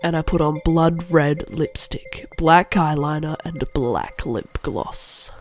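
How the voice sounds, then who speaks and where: A woman's voice: low voice, slow, faint music behind. Moody goth teen girl played by a woman. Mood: gloomy. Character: moody, melodramatic teenage goth girl narrator. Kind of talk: scripted narration of a parody cartoon. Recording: clearly audible background noise.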